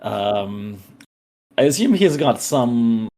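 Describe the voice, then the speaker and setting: A man playing a tabletop game, improvising his character's actions in a halting, think-aloud way. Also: medium pitch, very animated, warm tone. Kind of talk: improvised roleplay narration.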